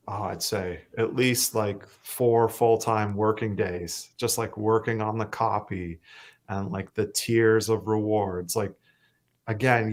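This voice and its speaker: A Canadian man with a self-described monotone voice, talking casually as a musician guest, rambling with fillers and restarts. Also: lowish voice. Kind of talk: casual interview chat.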